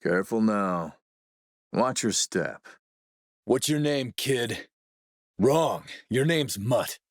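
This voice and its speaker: A man playing a ruthless, middle-aged militia warlord in a video game, with a rough husky voice and a commanding, threatening manner. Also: fairly low pitch, animated, takes it slowly, no echo, studio quality. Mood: quiet threat, then gruff command. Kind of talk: scripted video game character lines.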